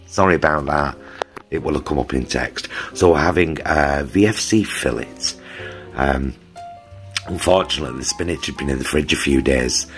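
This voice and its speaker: A man's voice: rumbling low, normal speed, fairly bright tone, faint music behind. A middle-aged man with a Northern English accent, chatting casually and affectionately as he hosts a homely food vlog. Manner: affectionate, homely, addresses viewers as loves. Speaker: vlog host.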